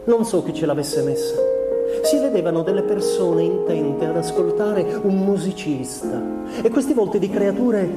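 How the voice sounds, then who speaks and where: A man acting a sensitive, melancholy young husband in a literary radio monologue, with measured, introspective phrasing and deliberate pauses. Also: high voice, animated, loud music behind the voice. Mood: reflective, intimate. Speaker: stage actor. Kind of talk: literary dramatic monologue, letter read aloud. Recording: echoey room with faint background noise.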